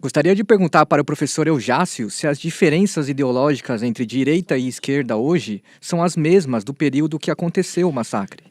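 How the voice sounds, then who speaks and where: A Brazilian man with a slightly high voice asks a formal question as a visiting radio listener. The sound is dry and close. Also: a little fast, few pauses, darkish tone, no echo.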